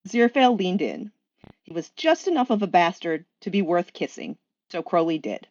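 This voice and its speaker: A woman's voice: lowish voice, big pitch swings, a little fast, darkish tone, dry recording, dull audio. A woman reading a story aloud in a scripted narrating style. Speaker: fan fiction reader.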